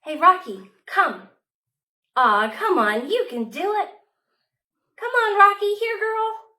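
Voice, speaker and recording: A woman plays an eager storybook kid, very high in pitch and bursting with pride over a pet. The words come out slow and stretched, exclaimed or pleading. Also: animated, pushed and bright, exaggerated voice. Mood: coaxing, pleading. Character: excited young child proud of a new pet.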